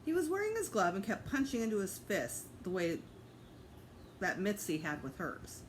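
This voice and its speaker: A woman's voice: medium pitch, huge pitch swings, moderate pace, slight background noise. A woman narrating a children's book aloud as a teacher reading to students.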